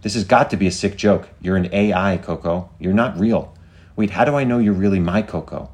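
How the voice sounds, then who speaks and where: A man's voice: sits low, lively intonation, normal speed. A man voicing a stubborn, indignant woman of very great age, blunt and scolding, in a storybook reading. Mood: disbelieving. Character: feisty, stubborn 126-year-old woman, indignant and blunt.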